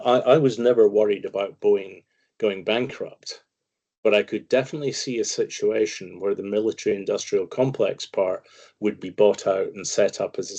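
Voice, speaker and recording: Brief: Scottish male guest analyst, low and warm, emphatic and opinionated. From Scotland. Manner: emphatic, opinionated, long flowing explanations. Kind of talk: conversational interview commentary.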